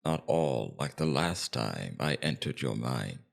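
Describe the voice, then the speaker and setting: A man playing a suave, menacing demon king in an ASMR roleplay, coaxing and condescending in a slow, deliberate, domineering way. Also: very deep voice, very animated, creaky, exaggerated voice. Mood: hypnotic coaxing. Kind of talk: ASMR roleplay character acting.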